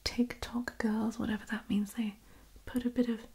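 A woman's voice: fairly high pitch, little pitch movement, moderate pace, darkish tone. A young woman playing a genteel, blasé vampire in an ASMR roleplay, whispering politely and hesitantly. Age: young adult. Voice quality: breathy. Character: genteel pale female vampire, breezy and blasé. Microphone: close microphone.

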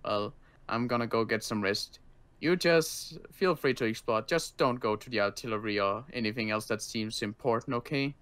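A man voicing a wary but kindly armed guard in an improvised game roleplay, speaking casually and haltingly. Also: medium pitch, a bit bright, clear, resonant tone, lightly acted. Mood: friendly. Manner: casual, halting, hesitant delivery.

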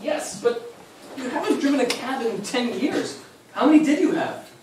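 A man's voice: very high-pitched, animated, moderate pace. A young man acting an anxious, patient grandson who also narrates his memories in a live stage play. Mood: bemused. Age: young adult. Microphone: far camera in the audience. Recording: echoey small theater, distant camera, faint hiss.

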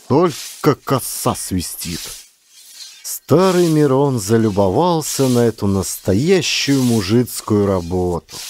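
A man narrating a literary audiobook as an expressive storyteller. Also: medium pitch, slight background noise.

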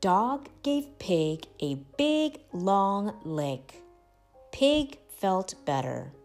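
Slow, animated woman reading a storybook. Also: mid-range voice, faint music behind. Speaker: storybook narrator, host of read-aloud channel. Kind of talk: expressive children's read-aloud.

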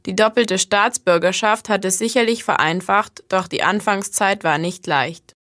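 A woman with a middle-pitched voice reads a prepared text for learners. The recording is dry and close. Her delivery stays plain and even. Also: normal speed, no room sound. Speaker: reader of listening texts. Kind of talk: scripted reading for learners.

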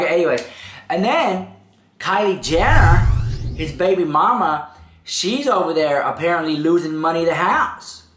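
A man's voice: fairly high pitch, fairly bright tone. A young man with a head cold talks straight to camera, his voice stuffed up and congested. He chatters sassily through celebrity gossip, calling his viewers "girl" again and again. The room has a noticeable echo around him. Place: indoor room, seated on a throne-like chair.

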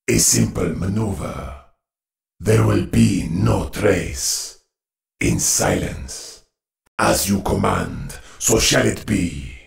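Gravelly, slow, menacing stealth commander. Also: male voice, on the low side, reverberant, heavily acted. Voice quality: gravelly, hushed. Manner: slow, solemn, ominous delivery in terse phrases. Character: menacing, stealthy male commander of a sniper super-tank. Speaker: game unit voice actor. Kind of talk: video game unit voice lines.

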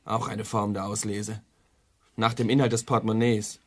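An actor playing a bitter, appalled son in a radio play, speaking with grim emphasis. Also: male voice, fairly low pitch, flat delivery, moderate pace, natural voice. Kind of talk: German radio play dialogue.

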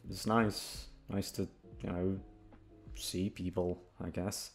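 A young man chatting casually in a rambling outro, halting and aimless, swearing freely and putting himself down. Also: sits low, little pitch movement, faint music behind. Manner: aimless, halting, profane, self-deprecating. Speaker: audio voice actor, channel host.

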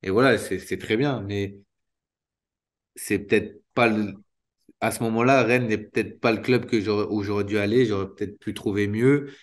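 A man in his thirties, a former footballer, talking candidly in an interview over a video call, restarting sentences and filling pauses often. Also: lowish voice, fairly even intonation. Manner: spontaneous, halting, many restarts and fillers. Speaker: retired footballer guest. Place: small room indoors. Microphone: video call webcam.

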